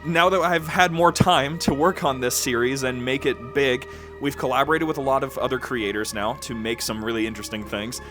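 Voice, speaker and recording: A man's voice: medium pitch, steady tone, fairly quick, a bit bright. A man hosting an upbeat, conversational update for fans, talking in an enthusiastic, informal and emphatic way. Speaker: podcast host and producer giving a channel overview. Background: background music.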